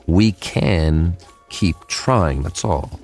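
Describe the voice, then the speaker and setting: An actor playing a weary but determined government codebreaker in a radio drama, with an emphatic, wry manner. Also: male voice, sits low, expressive, extremely slow, a little mellow, soft background music, exaggerated voice. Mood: weary, exasperated.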